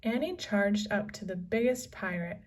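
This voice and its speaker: A woman's voice: medium pitch, animated, moderate pace, distant mic. A woman narrating a children's adventure story as a scripted read-aloud. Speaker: storybook reader.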